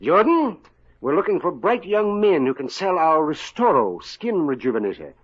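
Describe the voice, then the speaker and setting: An actor playing a grumpy, sarcastic middle-aged company boss in a 1950s radio comedy, on a muffled old broadcast. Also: male voice, on the high side, sing-song, normal speed, husky. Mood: wheeling-dealing. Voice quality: sputtering, sarcastic. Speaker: company owner.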